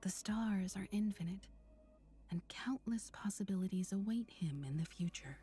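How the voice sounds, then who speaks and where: A woman's voice: mid-range voice, animated, moderate pace, mellow, breathy edge. An actress voicing a composed, supportive mature woman in a video game, with a reassuring manner. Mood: warm, supportive. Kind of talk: video game character voicelines.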